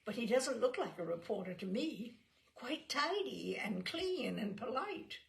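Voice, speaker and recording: A woman's voice: fairly high pitch, big pitch swings, measured pace, gravelly, pitched above the performer's own voice, full character voice. A woman voicing a chatty, matter-of-fact household maid in a novel, with a plain-spoken, knowing manner.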